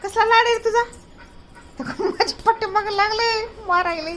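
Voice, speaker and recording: A woman's voice: very high voice, normal speed, fairly bright tone. A woman with a rural Maharashtrian Marathi accent chats casually to a small child at home, scolding and coaxing with short repeated commands. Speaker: mother filming her toddler for a family vlog. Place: indoors at home. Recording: noisy home phone recording.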